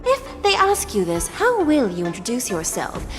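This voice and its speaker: A woman's voice: fairly high pitch, wildly swooping pitch, moderate pace. An actress playing a polite, formal female official who greets guests in a video game. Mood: courteous. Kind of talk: video game character voice acting. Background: game music.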